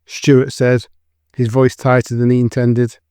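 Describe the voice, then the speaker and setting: A man's voice: medium pitch, monotone, unhurried, mellow, clear, resonant tone, no echo, clean studio sound. A man reading scripted dramatic narration as the narrator of an audio drama.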